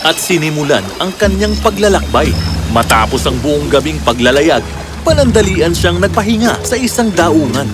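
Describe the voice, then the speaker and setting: Male narrator for a kids' storybook, high register, scripted telling, distinct music bed. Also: big pitch swings, normal speed. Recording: music clearly behind the voice.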